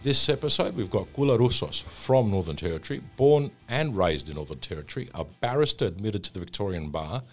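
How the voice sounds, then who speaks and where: A man's voice: on the low side, expressive, fairly quick, few pauses, soft background music. A man hosting a podcast interview, chatty and fond of asking leading questions. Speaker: podcast host.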